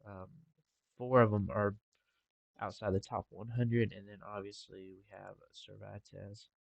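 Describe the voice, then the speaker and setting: A young man talking casually over a game with a congested, stuffy nose and a relaxed, rambling manner. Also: lowish voice, a little slow, vocal fry, dry recording. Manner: relaxed, rambling with filler ums. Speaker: gaming channel host. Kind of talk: casual gameplay commentary.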